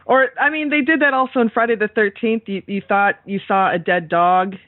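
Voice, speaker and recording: A woman's voice: mid-range voice, fairly even intonation, a little fast, no echo. A woman co-hosting a podcast interview in casual, conversational talk. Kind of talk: casual chat. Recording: dry, thin phone-like sound.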